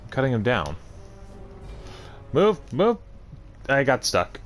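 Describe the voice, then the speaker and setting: Guy doing casual let's play commentary for his gaming videos, normal pitched voice. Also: huge pitch swings, takes it slowly, soft background music.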